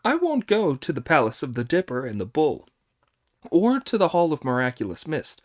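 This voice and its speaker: A man's voice: fairly high pitch, voice pushed up. A man reading an audiobook, voicing a cheeky, boastful male monkey king who bosses the others around. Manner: boastful, commanding, excitable.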